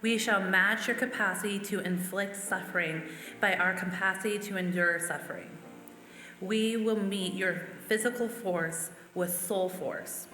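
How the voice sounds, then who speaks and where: A woman's voice: mid-range voice, fairly even intonation, measured pace, pushed and bright. A woman reading out famous quotations as a church member. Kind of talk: recited quotations. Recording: echoey hall, faint music. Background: faint music.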